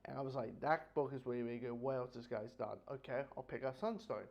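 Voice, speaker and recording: A man giving a casual, unscripted review, rambling and halting with repeated phrases, blunt and casually sweary. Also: medium pitch, gravelly, muffled recording. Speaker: book reviewer.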